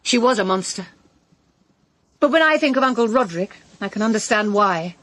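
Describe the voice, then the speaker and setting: A bitter, candid British woman in a radio drama. Her delivery is theatrical and cutting, with lively swings in pitch and a slightly husky edge. The sound is close and dry. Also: normal speed, dry recording. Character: brittle, sharp-tongued woman suspect, candid and bitter. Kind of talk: full-cast radio drama dialogue.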